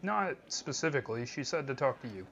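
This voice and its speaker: A man hosting a video game playthrough, answering and narrating in character with deliberate, somewhat hesitant, formal phrasing. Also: mid-range voice, animated, a bit bright. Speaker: let's play host. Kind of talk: role-played game commentary. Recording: dull band-limited mic under game music. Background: game music.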